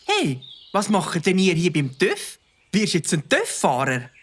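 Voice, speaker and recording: A curious, supportive child in a children's radio play. The delivery is friendly and animated, with pitch that moves freely. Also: female voice, unhurried, slightly rough, full character voice. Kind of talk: children's audio drama acting.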